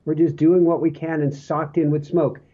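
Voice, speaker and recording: A male podcast host with a hoarse voice, chatting casually and rambling with fillers and restarts. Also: on the high side, little pitch movement, normal speed, dark tone, highs cut off. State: hoarse, coughing from smoke.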